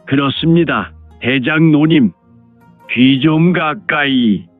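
남자가 연기하는 높은 톤의 아부하는 무협 가주. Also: male voice, fairly high pitch, slow pace, no echo. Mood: deferential. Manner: deferential, formal, explanatory. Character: hot-blooded clan lord, fawning toward his superiors. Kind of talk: Korean martial-arts audio drama acting. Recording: phone-like narrow sound, music behind.

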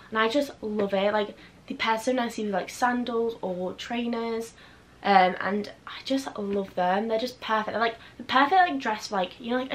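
A young woman, slightly croaky from a chest infection, chatting casually and rambling with lots of fillers as a fashion vlogger in her bedroom. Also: mid-range voice, a little hiss or hum. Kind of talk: casual chat. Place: bedroom, indoors.

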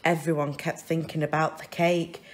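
A woman reading a children's story aloud in an expressive, deliberate way, with careful phrasing. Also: deep voice. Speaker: storybook reader. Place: indoors, reading a picture book at a wooden table.

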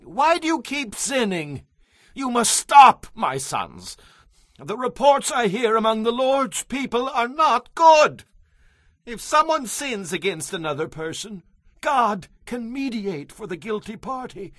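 A man's voice: very high-pitched, big pitch swings, slow, fairly bright tone, no echo, exaggerated voice. An actor playing a very old, nearly blind priest and father, admonishing in a dramatized audio Bible. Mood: anguished reproach.

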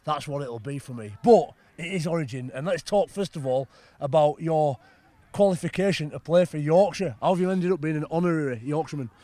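A man's voice: a little high, a little fast, dark tone, no room sound. A man in his thirties presenting a playful sports interview outdoors at a rugby field, speaking into a handheld mic. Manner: playful, laughs often.

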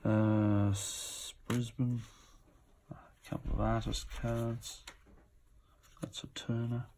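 A man's voice: mid-range voice, little pitch movement, takes it slowly, mellow, slight background noise. A man giving casual, off-the-cuff commentary as he presents auction items, musing to himself as he goes. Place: indoors at a table.

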